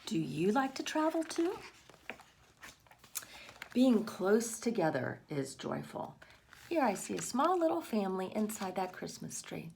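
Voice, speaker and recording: A woman's voice: mid-range voice, big pitch swings, a little slow, vocal fry, long pauses, darkish tone, slight background noise. A woman reading a picture book aloud to children, expressively, with asides and questions addressed to the young listeners. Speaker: read-aloud storyteller for children.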